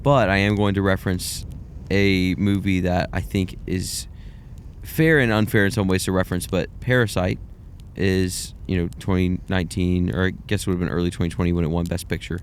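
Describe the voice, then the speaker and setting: A man's voice: low-pitched, little pitch movement, a little fast, slight background noise. A young man co-hosting a casual film podcast, rambling and hedging as he gives his take. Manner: rambling, hedging, self-deprecating. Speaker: podcast co-host. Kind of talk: casual film review chat.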